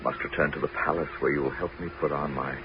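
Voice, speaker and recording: Very deep-voiced wily Greek warrior king. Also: fairly even intonation, fast talker. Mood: resigned. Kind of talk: 1970s radio drama acting. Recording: dull, noisy old radio broadcast recording.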